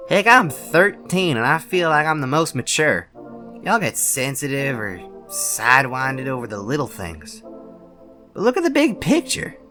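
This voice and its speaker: A man's voice: medium pitch, big pitch swings, measured pace, bright, forceful tone, soft background music. A young man voicing a scrappy, earnest thirteen-year-old cartoon bunny boy with a Southern US accent, emphatic and folksy as he pleads. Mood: exasperated. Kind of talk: dramatic cartoon monologue.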